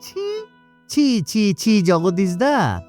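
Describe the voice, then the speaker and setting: A man's voice: high voice, very animated, very slow, darkish tone, faint music behind, exaggerated voice. A man voicing a sly, boastful swindling salesman in a children's cartoon, brash and wheedling. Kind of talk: cartoon story voice acting.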